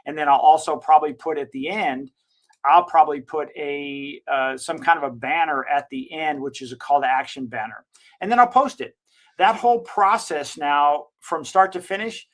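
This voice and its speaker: A man who owns a digital marketing agency, giving an enthusiastic, rambling software walkthrough and correcting himself as he goes. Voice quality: slightly higher-pitched voice. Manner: enthusiastic, recommending. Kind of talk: casual screen-share software walkthrough.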